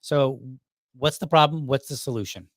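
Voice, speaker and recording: A man's voice: medium pitch, moderate pace, fairly bright tone, no echo, studio quality. A man hosting a podcast, interviewing a candidate and prompting him with brief questions and affirming interjections. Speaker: podcast host.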